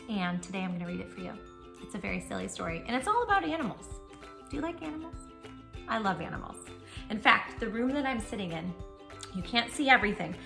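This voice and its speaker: A woman's voice: medium pitch, vocal fry. A woman hosting a read-aloud for young children, speaking expressively and emphatically. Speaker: children's storytime host. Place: indoors, room at home.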